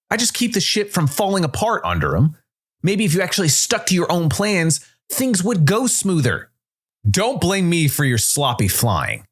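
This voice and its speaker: A man's voice: on the high side, voice pushed up. A man voicing proud, hot-tempered spaceship outlaws in an audio story, heated and accusing. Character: hot-tempered, proud outlaw captain and his defensive pilot. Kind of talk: scripted audio story dialogue.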